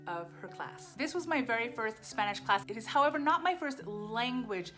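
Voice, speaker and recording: A woman's voice: fairly high pitch, animated, normal speed, music in the background. A woman vlogger whose first language was Japanese, talking through her language-class experience in a candid, self-deprecating way, often repeating short phrases. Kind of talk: casual vlog reflection.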